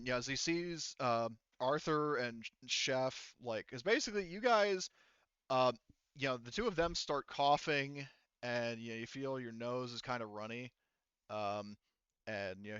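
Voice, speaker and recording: A man narrates a tabletop game as its game master. His voice is smooth and mid-pitched, and he talks informally, wandering through rules and action. The sound is very dry and a little dull. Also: bright, forceful tone, no echo. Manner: informal, rambling, swears. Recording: dry, slightly muffled voice mic.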